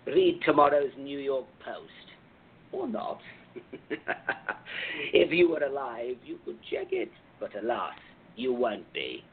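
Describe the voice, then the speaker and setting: A man playing the grandiose, menacing president of a diabolical club in a radio play, with drawn-out, emphatic delivery. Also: mid-range voice, huge pitch swings, breathy edge, phone-quality sound, exaggerated voice. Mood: mocking, commanding.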